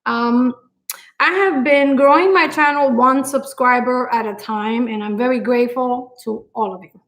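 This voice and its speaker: A woman's voice: a little high, low-quality recording. Puerto Rican-accented woman hosting a cooking stream. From Puerto Rico. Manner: warm-hearted, grateful, frequent fillers and pauses. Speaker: cooking channel host. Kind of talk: live stream chat with viewers.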